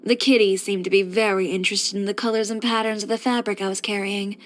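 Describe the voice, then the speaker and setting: A woman's voice: mid-range voice, fairly even intonation, quick pace, close to an everyday voice. An actress playing a headstrong, composed young woman who runs a boutique, speaking frankly and with dry confidence, as video game character voice lines. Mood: reflective, fond. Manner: matter-of-fact, frank, dryly confident delivery.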